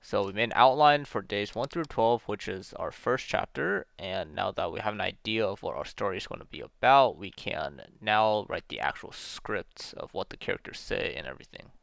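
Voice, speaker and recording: Young male narrator for a scripted video: low, slightly gravelly, close and dry, self-deprecating and casual. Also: animated, normal speed, few pauses, a bit bright, dry recording. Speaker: amateur game developer narrating a video.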